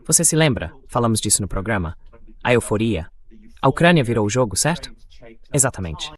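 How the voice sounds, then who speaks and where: A man's voice: mid-range voice, very animated, a little fast. A synthetic male voice, dubbing a commentator giving political analysis. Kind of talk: dubbed discussion, political analysis.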